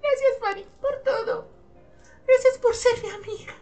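A man's voice: very high voice, steady tone, moderate pace, a little mellow, soft background music, voice pushed up. A man voicing an anxious, emotional young girl in an amateur cartoon dub, stammering at times. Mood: tearful gratitude.

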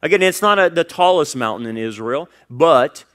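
An American man in middle age preaches as a pastor, explaining scripture point by point. His voice sits a little higher than average for a man. His delivery is emphatic, moving between forceful projection and softer, hushed phrasing. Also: lively intonation, normal speed. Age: middle-aged. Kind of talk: expository sermon with scripture reading. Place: church stage before a congregation, indoors.

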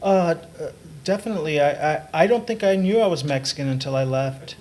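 A man's voice: fairly high pitch, brisk. Self-mocking middle-aged man telling stories. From San Antonio, Texas. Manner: relaxed, self-mocking, laughs at himself. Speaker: panel writer. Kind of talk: storytelling on a panel. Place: gallery event room with audience. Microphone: table mic.

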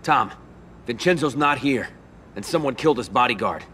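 An actor playing an earnest, loyal young mafia don in a video game, with an American accent. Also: male voice, medium pitch, animated, moderate pace, sharp tone, a little hiss or hum. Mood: serious, urgent. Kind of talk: dramatic video game acting.

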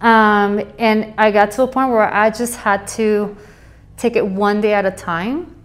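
A woman's voice: mid-range voice, steady tone, measured pace. Female administrator, candid and reflective, close lapel mic, indoor office. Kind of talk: interview.

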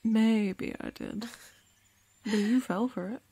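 A woman's voice: a little high, steady tone, takes it slowly, warm tone, slightly rough, no echo, exaggerated voice. A woman playing a sly, mocking female warrior in an audio roleplay, sardonic and taunting. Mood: gloating.

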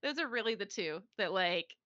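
Bright, chatty woman, lo-fi dry mic. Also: mid-range voice, animated, moderate pace, no room sound. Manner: chatty, laughs often, many fillers. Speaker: podcast co-host, streamer. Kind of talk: casual chat.

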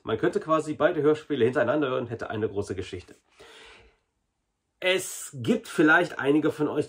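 Male, 40s, slightly rough-edged voice, informal vlog reviewer, chatty and familiar with viewers. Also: medium pitch. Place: small indoor hobby room.

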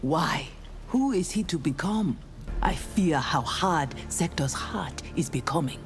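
A stern young female ninja in a fighting game. Her voice is very low, formal and resolute, with faint music behind. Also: animated, slow pace, heavily acted. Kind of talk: fighting game character dialogue.

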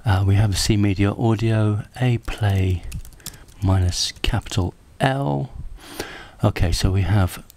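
A man's voice: lowish voice, measured pace, a little mellow. A man giving a casual, unscripted tech demo, hesitant and thinking aloud as he goes. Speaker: presenter of a hardware prototype demo. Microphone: handheld camera microphone. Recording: noisy handheld camera recording with clicks.

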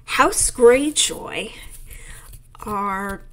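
Chatty New York woman, slow-paced. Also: medium pitch, fairly bright tone, low-quality recording. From New York City. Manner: chatty, laughs often, reads game text aloud. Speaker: game creator playing through a demo. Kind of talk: casual game walkthrough commentary.

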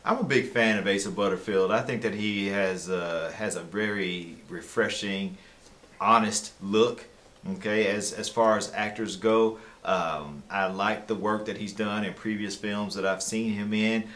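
A man's voice: lowish voice, steady tone, a little slow, fairly bright tone. An American-accented man in his thirties, co-hosting a conversational film review in a small room.

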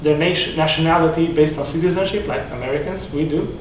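Forties male lecturer, animated and restarting often, recorded far off with a dull sound. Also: on the high side, fast, slight background noise. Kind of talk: classroom lecture. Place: classroom in front of whiteboard. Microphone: far camera. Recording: echoey classroom from far off, dull lo-fi.

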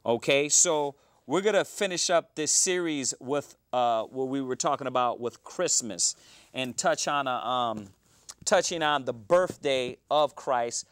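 A man in his thirties hosts a live cable talk show from a small studio. His voice is smooth and clear at a middling pitch, and the sound is dry and close. He rambles, restarting often with fillers. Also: unhurried, fairly bright tone, dry recording. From Houston, Texas. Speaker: host.

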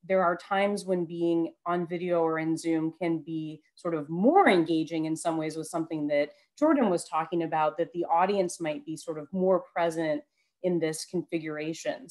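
Lower-pitched woman moderating a panel. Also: normal speed, darkish tone. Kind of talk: moderating a panel, reading questions. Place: room at home, video call. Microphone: headset with boom mic.

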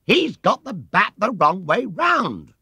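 A man plays an indignant cricket bowler from a children's storybook. He is high-pitched and aggrieved, complaining forcefully with big swoops. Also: wildly swooping pitch, takes it slowly, breathy edge, no room sound, full character voice. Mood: indignant yelling. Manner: indignant, yelling and grumbling. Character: loud, aggrieved male schoolboy bowler, grumbling accuser.

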